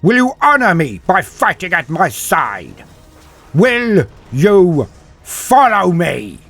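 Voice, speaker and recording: A man's voice: high-pitched, huge pitch swings, slow pace, a bit bright, faint music behind, pitched above the performer's own voice, full character voice. A man voicing a proud old military commander giving a stirring, emphatic speech to his troops in a dramatic war story. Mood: proud, rousing. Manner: stirring, emphatic battlefield oratory.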